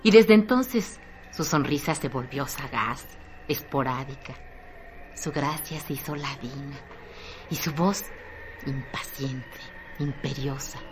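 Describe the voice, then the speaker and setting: Female radio drama narrator: very low voice, lingering pace, measured phrasing, slight background noise.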